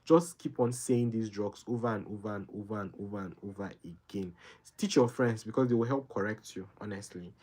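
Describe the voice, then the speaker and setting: Earnest low-voiced Nigerian man. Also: a little fast, a little mellow, breathy edge. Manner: earnest, rambling, keeps adding "right?" and repeating himself. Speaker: livestream host answering viewer questions. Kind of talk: casual live Q&A advice.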